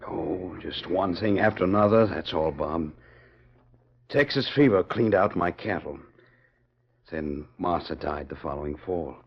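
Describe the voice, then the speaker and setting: Weary, husky old cowhand. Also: male voice, sits low, a little slow, heavily acted. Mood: resigned, weary. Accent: American, rural Western. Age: older. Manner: plain-spoken, rueful, resigned. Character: weary older down-on-his-luck cattleman turned outlaw cook, resigned. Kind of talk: 1940s radio western acting. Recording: muffled 1940s radio recording.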